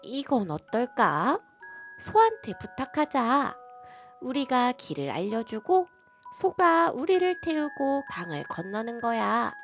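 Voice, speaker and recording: A woman voicing an eager, clever young cat in a storybook, with soft music behind. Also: fairly high pitch, sing-song, takes it slowly, dull audio, voice pushed up, full character voice. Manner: bright, eager.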